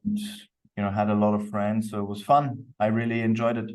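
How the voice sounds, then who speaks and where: A man's voice: on the low side, little pitch movement, moderate pace, a little mellow. A man from Austria speaking as a podcast guest, explaining himself in an easygoing, reflective interview style. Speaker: podcast guest, company co-founder. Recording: distant microphone.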